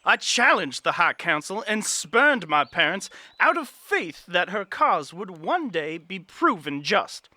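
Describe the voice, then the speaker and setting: An actor playing an earnest young political advisor in a fantasy audio drama, speaking formally and with deference. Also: male voice, sits high, big pitch swings, pushed and bright, no room sound, heavily acted. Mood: indignant, wounded. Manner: formal, measured, deferential archaic phrasing.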